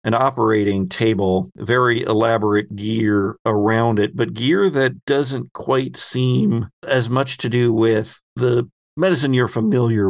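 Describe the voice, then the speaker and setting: Slow, gravelly man narrating a game. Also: medium pitch. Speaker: host and game master. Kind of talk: narrating a tabletop horror game.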